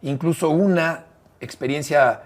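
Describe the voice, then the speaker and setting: Expressive Mexican man in his fifties. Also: a little high, unhurried. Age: 50s. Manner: expressive, emphatic delivery with frequent "eh" fillers. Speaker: sports commentator guest. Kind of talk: conversational interview storytelling.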